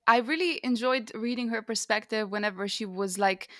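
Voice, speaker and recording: A woman's voice: a little high, normal speed, a bit bright, no echo, clean studio sound. A woman co-hosting a podcast, chatting casually and expressively about books. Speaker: podcast co-host. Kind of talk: casual book discussion.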